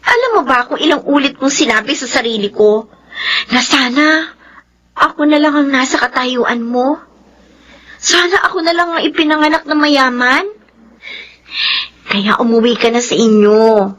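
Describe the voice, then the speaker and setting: A woman's voice: high voice, normal speed, pauses often, fairly bright tone. A young woman with a Filipino accent playing an earnest, sisterly, hardworking student in a radio drama, emotive and admonishing. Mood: earnest pleading. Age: young adult.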